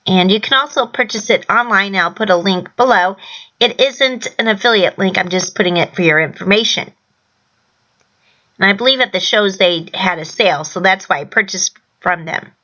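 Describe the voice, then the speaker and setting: A woman with a bright, forward voice, a little hoarse from a sore throat, talks through a scrapbooking tutorial. She is chatty, explains each step plainly and restarts a phrase now and then. The recording is dull and lo-fi. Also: medium pitch, moderate pace. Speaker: crafting channel host. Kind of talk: casual scrapbooking tutorial voice-over.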